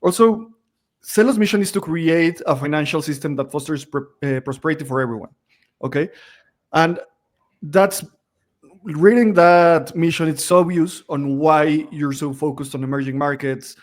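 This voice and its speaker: A man's voice: a little high, moderate pace. A man from Latin America hosting a podcast, asking long, wordy questions. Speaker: podcast host. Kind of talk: interview question.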